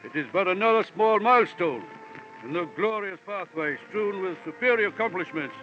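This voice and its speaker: An actor playing a florid, jovial and pompous gentleman investigator from London with a villain's air, in 1940s radio style. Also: sits high. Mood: pompous, triumphant. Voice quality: voice like a portly classic-film villain. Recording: muffled, hissy old radio recording.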